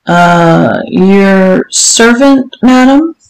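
女声演绎卑微顺从、说话缓慢的男人. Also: female voice, lowish voice, slow pace, darkish tone, faint music behind. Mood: hesitant, pleading. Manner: deferential, humble, hesitant. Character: meek, pleading, submissive adult man. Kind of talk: audiobook character voice. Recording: roomy echo.

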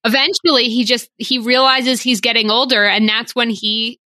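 A bubbly young woman in her twenties chatting on a podcast, with a bright, forceful voice pitched a little high. She talks in quick bursts and laughs often, recorded dry and close with no room sound. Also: steady tone, no room sound. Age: 20s. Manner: bubbly, laughs a lot, fast bursts of talk. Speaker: podcast co-host. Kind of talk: casual chat.

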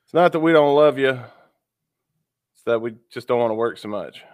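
A man's voice: mid-range voice, moderate pace, smooth, no room sound. Stuffy-nosed man, loose profane podcast chat. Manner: loose and profane, lots of fillers. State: stuffy and sniffly from a cold. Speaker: podcast co-host. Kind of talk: casual podcast banter.

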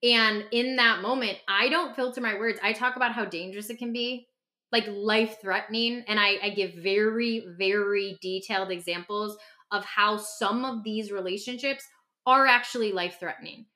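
A woman's voice: mid-range voice, steady tone, moderate pace, pushed and bright. A woman speaking as a podcast host and clinician in candid conversation, passionate and emphatic, repeating phrases for stress.

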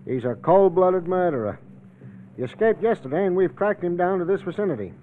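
An actor playing a courteous, plain-spoken police officer on a manhunt in an old-time radio drama. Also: male voice, high-pitched, expressive, fairly quick. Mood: businesslike. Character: folksy, courteous police officer hunting an escaped killer. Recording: tinny vintage radio recording.

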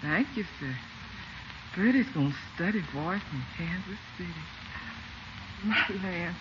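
An actress playing a cheerful, deferential household maid in a 1940s radio comedy. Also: female voice, fairly low pitch, expressive, unhurried. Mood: excited. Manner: deferential, exclamatory. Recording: muffled, noisy vintage radio recording.

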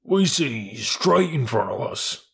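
A man voicing a pair of placid, literal-minded bullocks in a storybook, with slow, drawn-out speech. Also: mid-range voice, sing-song, gravelly, a bit bright, slightly rough, full character voice. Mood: stolid.

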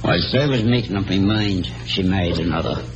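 An actor playing a crafty, soft-hearted old Irish country doctor in a classic radio drama, blustery and wheedling. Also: male voice, low voice, a little fast, close to an everyday voice. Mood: wistful. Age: older. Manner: wheedling, theatrical, hesitating for effect. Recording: old radio broadcast with music.

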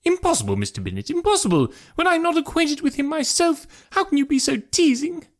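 A man voicing a fretful, gushing middle-aged mother in an audiobook, in a shrill, dramatic way. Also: sing-song, a little fast. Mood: exasperated. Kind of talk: audiobook character voice.

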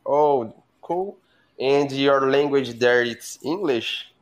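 A man's voice: medium pitch, slow pace. A young man from Brazil joining a live call as a guest, speaking careful, hesitant second-language English. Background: music playing.

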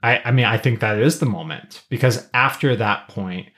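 A man's voice: mid-range voice, moderate pace, bright, forceful tone. A man co-hosting a podcast, talking through his analysis casually in a thoughtful, explanatory manner. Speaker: podcast co-host.

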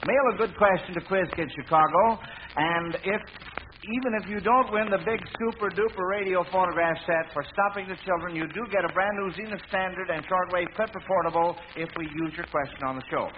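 A man's voice: high voice, a little fast. A man hosting a 1940s radio quiz show, addressing contestants in a formal announcer's manner. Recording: noisy lo-fi vintage radio broadcast.

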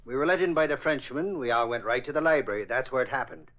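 A man's voice: medium pitch, lively intonation, fast, close to an everyday voice. A man playing a folksy, grumbling newspaper reporter with colloquial talk in an old-time radio drama. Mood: matter-of-fact. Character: folksy, grumbling male newspaper reporter hanging around police. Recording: tinny band-limited vintage radio recording.

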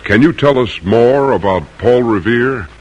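A man narrating an old-time radio drama in a dramatic, deliberate, formal manner. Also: mid-range voice, animated, lo-fi sound.

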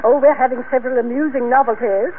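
An actress playing a haughty, affected middle-aged society matron in a 1940s radio comedy. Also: female voice, high voice, steady tone, fast, lightly acted. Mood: gushing, self-important. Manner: affected, genteel, fluttery. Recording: tinny vintage radio recording, faint noise.

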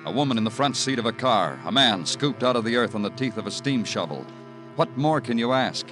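An actor playing a world-weary police detective in an old radio crime drama, measured, brooding and hard-boiled. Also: male voice, mid-range voice, animated, lightly acted. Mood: brooding, wry. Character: world-weary male police detective narrating his own case. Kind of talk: 1940s-50s radio crime drama acting and narration. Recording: old radio recording with prominent music.

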